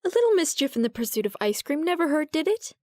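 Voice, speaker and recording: A woman's voice: high voice, big pitch swings, quick pace, a little mellow, no echo, studio quality. A woman voicing a gracious, playful pony monarch in a fan-made audio drama.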